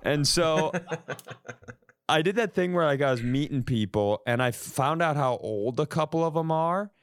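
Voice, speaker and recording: A man's voice: a little high, expressive, moderate pace, clean tone, no room sound, clean studio sound. A man hosting a comedy podcast, telling casual comic stories in a joking, self-deprecating way with some swearing. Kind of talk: casual comic storytelling and banter.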